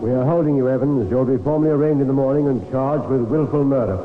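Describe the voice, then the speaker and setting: An actor playing a sober, methodical police inspector in an old-time radio drama, with formal, deliberate speech. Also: male voice, medium pitch, natural voice. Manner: formal, deliberate, clipped questioning. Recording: tinny, noisy old radio recording.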